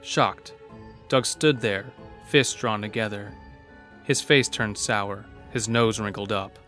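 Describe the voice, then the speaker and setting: Man, horror narrator, lowish voice, scripted storytelling, faint music behind. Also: fairly even intonation, slow, a bit bright.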